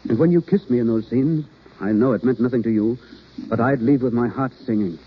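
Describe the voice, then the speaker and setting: An actor playing a self-effacing, lovesick acting teacher in a 1930s radio romance, speaking earnestly. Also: male voice, medium pitch, fairly quick, husky, natural voice. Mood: ardent. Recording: muffled old radio recording.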